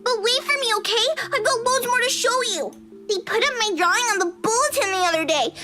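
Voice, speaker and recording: A woman's voice: extremely high pitch, lively intonation, fairly quick, heavy background noise, pitched above the performer's own voice. A woman voicing a cheerful, eager little schoolgirl in a visual novel, chirpy and childlike. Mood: eager, innocent.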